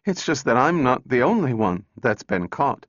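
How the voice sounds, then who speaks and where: A man's voice: on the low side, very animated, slow pace, exaggerated voice. A man voicing a sardonic, overwrought woman scientist in a storybook reading, sneering and intense. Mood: strained, brittle. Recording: echoey room, dull muffled sound.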